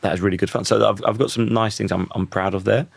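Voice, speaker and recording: Man, thirties, croaky, reflective, restarts sentences, very deep voice. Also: fairly even intonation, fast, dry recording. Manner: thoughtful, restarts sentences often. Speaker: podcast guest. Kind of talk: reflective conversational interview.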